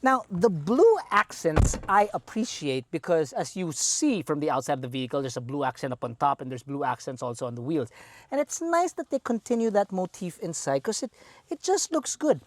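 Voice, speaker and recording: A man's voice: on the high side, big pitch swings, brisk. A man with a Filipino English accent hosting a car review walkaround, chatty and playful with enthusiastic asides.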